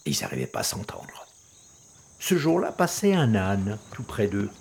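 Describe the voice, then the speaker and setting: A man's voice: on the low side, wildly swooping pitch, moderate pace, gravelly, a little mellow, slight background noise. A man telling a folk tale playfully, with teasing false starts. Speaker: storyteller.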